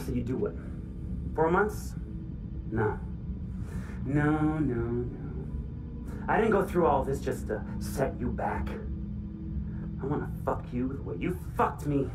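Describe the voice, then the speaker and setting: Video game prankster villain: taunting, vengeful, erratic, big pitch swings, slow savouring pace, music underneath. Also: male voice, expressive, distant mic, low-quality recording. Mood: bitter. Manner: theatrical, mocking, erratic delivery. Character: manic, vengeful male hacker prankster, taunting and foul-mouthed.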